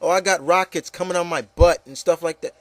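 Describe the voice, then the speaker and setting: Male panel host, fairly high-pitched, dry recording. Opinionated, emphatic, conversational, repeats points. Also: fairly quick, no room sound. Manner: emphatic, repetitive, peppered with "you know". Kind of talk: casual opinionated panel discussion.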